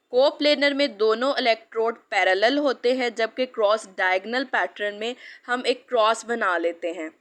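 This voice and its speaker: A young woman, a clinical physiotherapist, giving a steady, explanatory lesson in Hindi mixed with English and listing terms one by one. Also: on the high side. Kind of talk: instructional lecture mixing Hindi and English.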